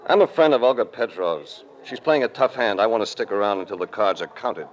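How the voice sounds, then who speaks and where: Low-voiced, wry American radio detective played by a man. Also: expressive, fairly quick, muffled recording, close to an everyday voice. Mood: cool, steady. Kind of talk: 1940s radio drama acting, hardboiled first-person narration. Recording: noisy old radio broadcast recording.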